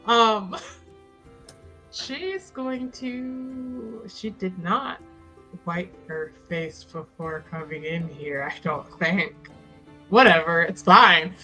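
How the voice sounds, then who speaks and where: Female tabletop player, bright clear-cut tone, leisurely rambling narration, casual and sweary, faint music underneath. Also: fairly low pitch, drawn-out words, rough recording. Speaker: player in a tabletop roleplaying game. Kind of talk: casual improvised character narration.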